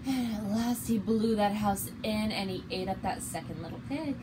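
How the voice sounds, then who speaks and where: A woman's voice: mid-range voice, normal speed, background noise. A woman in her twenties reading a children's picture book aloud as a storyteller. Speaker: story reader. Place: small bare indoor room.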